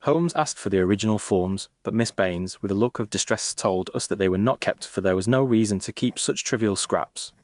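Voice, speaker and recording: A man reading a Victorian detective story in a measured, formal, literary manner. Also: on the low side, no echo, clean studio sound. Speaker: narrator.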